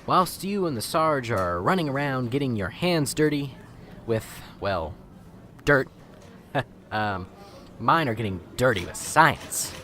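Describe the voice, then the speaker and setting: Man doing a rambling jokey lab tech, animated pitch, faint background hiss. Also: slow, pauses often. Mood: wry. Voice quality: chatty, wisecracking, rambling. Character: wisecracking male police lab tech. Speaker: lab technician. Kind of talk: amateur radio drama acting.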